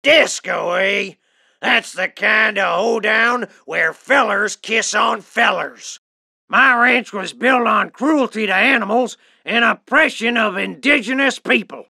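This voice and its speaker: An actor playing a brash, greedy rich Texan cowboy tycoon in a cartoon game, with a loud, whooping, folksy drawl. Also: male voice, high-pitched, slow, vocal fry, no echo, exaggerated voice. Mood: folksy, smug. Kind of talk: exaggerated cartoon game voice acting.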